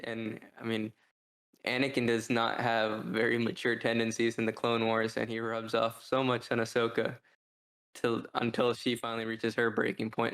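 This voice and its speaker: A man's voice: medium pitch, gravelly, a bit bright. A man co-hosting a podcast, talking casually in a rambling, hesitant way and often circling back on his own phrasing. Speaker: podcast co-host reviewing books. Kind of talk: casual discussion.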